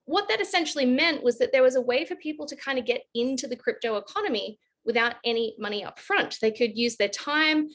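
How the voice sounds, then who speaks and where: A woman's voice: high voice, animated, a little fast, hardly any pauses, fairly bright tone. Australian woman explaining on a panel. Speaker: panelist, advisory firm director. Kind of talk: panel discussion, explanatory talk.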